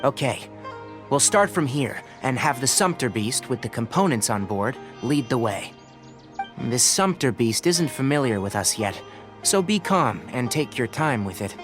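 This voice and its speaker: Brief: young scholarly ranger, aloof and matter-of-fact, lively intonation, game music underneath. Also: male voice, mid-range voice, unhurried. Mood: dry, analytical. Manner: precise, analytical, matter-of-fact. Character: young male forest watcher, scholarly, blunt and aloof. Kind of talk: video game character dialogue.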